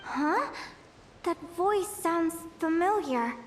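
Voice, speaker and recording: An actress voicing a wistful young woman naturalist in a video game, soft and reflective, troubled by dreams. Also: very high voice, lively intonation, takes it slowly, slight background noise, exaggerated voice. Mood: confused, distressed.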